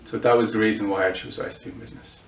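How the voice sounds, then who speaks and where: A man's voice: lowish voice, fairly even intonation, rapid-fire. A student in his twenties from Bulgaria, answering interview questions with many hesitations and restarts. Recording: roomy echo, mic at a distance.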